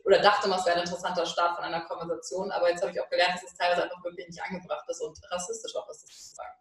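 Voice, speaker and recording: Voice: medium pitch, level tone, rapid-fire, fairly bright tone. A podcast host asking long, curious questions in a casual interview, often adding "ne?". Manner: curious, asks long winding questions with frequent "ne?". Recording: very roomy sound, distant from the mic.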